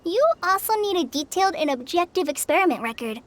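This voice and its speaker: A shy, earnest young trainee ranger who stammers and hesitates. Her voice is very high and lively in pitch. The delivery is gentle video game dialogue. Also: fast talker. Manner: timid, stammering.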